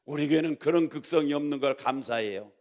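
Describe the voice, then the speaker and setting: Male pastor, high creaky voice, exhortatory and repetitive call-and-response sermon delivery. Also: quick pace, a little mellow. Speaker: pastor preaching to congregation.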